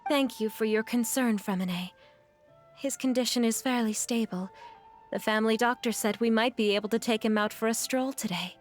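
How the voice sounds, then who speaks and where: A woman voicing a gracious, calm mother in a video game, speaking in a courteous and composed way. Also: fairly high pitch, normal speed, soft background music, close to an everyday voice. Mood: warm, polite. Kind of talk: video game character acting.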